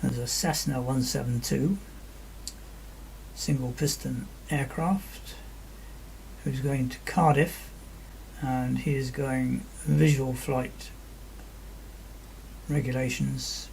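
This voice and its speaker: Slow, very creaky man. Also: medium pitch, steady tone, a little mellow, slight background noise, poor audio. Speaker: virtual air traffic ground controller and narrator. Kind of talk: radio phraseology and explanatory screen-recording commentary.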